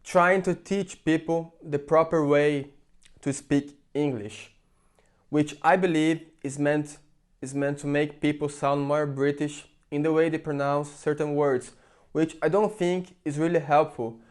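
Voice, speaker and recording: A man's voice: a little high, fairly even intonation, a little slow. A man from Brazil with a Brazilian Portuguese accent, speaking English in a chatty, rambling opinion vlog full of fillers and restarts. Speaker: vlogger. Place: in England.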